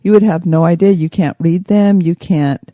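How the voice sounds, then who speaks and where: A woman's voice: fairly low pitch, normal speed, mellow, no room sound. A woman co-hosting a podcast in playful, joking casual chat. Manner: playful, joking, laughs often. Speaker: podcast co-host.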